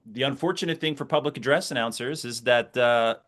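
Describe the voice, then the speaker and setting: A man's voice: medium pitch, a little fast, a bit bright. A middle-aged American man, a sports announcer, speaking conversationally as an interview guest and reflecting through anecdotes.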